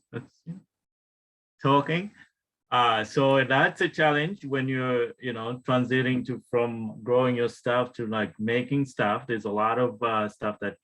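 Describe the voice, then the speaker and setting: A man in his thirties with a Ugandan accent and a medium-pitched voice. He tells his personal story informally, as a panelist would. His delivery is halting, with frequent restarts. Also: a bit bright, rough recording. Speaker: wellness tonic maker, guest panelist.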